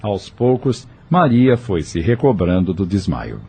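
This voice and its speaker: A man's voice: low voice, sing-song, unhurried, dark tone, breathy edge. A man narrating a scripted audio novel as its storyteller. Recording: faint music behind.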